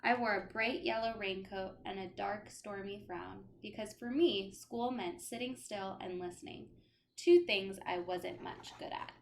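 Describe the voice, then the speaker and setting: A woman reading a children's picture book aloud as a teacher, in an expressive style with dramatic emphasis. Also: medium pitch, a little slow, vocal fry, a little hiss or hum. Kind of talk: animated children's storybook read-aloud.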